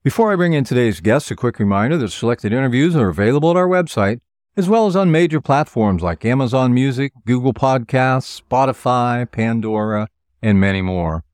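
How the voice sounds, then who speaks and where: A man's voice: medium pitch, expressive, brisk, a little mellow, no room sound, studio quality. A man hosting an author interview show, reading prepared introductions and questions in a polished presenter's manner. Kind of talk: scripted radio-style interviewing.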